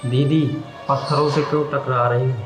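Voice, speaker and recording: An actor playing a worried transgender companion who urges softly to leave. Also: male voice, medium pitch, level tone, normal speed, close to an everyday voice. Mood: urging. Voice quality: soft, urging. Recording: roomy echo with faint music.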